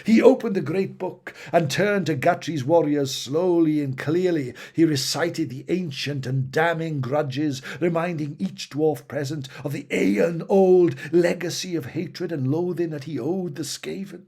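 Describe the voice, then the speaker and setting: Guy narrating epic fantasy, theatrical and emphatic, voice a bit rough and husky. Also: a little high, unhurried, few pauses, a little mellow. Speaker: audiobook reader. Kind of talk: dramatic fantasy story narration.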